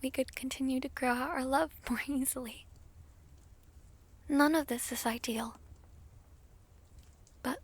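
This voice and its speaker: Woman acting as a tender vampire princess, really slow soft whispery talk, high voice, romantic audio roleplay. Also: heavily acted. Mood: tender, earnest. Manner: soft-spoken, breathy half-whisper, slow and formal. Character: gentle, lovesick ailing vampire princess, formal and tender.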